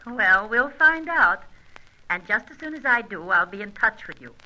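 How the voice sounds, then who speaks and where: A brash, bright flirt. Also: female voice, medium pitch, animated, normal speed, dull audio. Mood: sly, playful. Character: brash, flirtatious, mischievous American female adventuress. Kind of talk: old-time radio serial acting.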